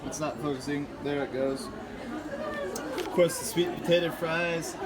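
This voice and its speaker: A man's voice: a little high, steady tone, moderate pace. A man vlogging casually on a handheld camera, joking and laughing often as he talks. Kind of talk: casual vlog chat. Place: outdoors and in a busy burger restaurant. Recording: noisy crowd around.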